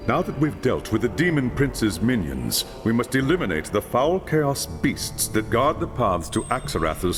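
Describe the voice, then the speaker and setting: An actor playing a solemn, commanding older male mentor who briefs a hero in a fantasy video game, speaking gravely. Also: medium pitch, long reverb tail.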